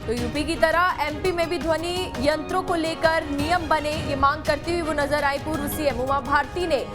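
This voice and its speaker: Woman, high pitch, emphatic news anchor, repetitive phrasing, music bed behind. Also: moderate pace. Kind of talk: broadcast news reading and questioning.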